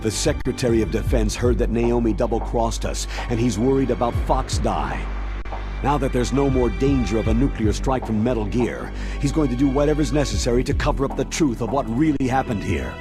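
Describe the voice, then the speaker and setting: Earnest commander voiced by a man, steady voice, music beneath. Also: mid-range voice, fairly quick, close to an everyday voice. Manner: earnest, apologetic. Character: burdened older male military commander. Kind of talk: video game cutscene.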